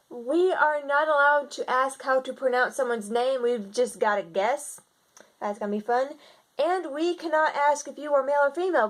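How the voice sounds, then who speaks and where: Rambling young woman, higher voice. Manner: rambling, many restarts and "like" fillers. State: slightly raspy from seasonal congestion. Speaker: vlogger. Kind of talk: casual video diary.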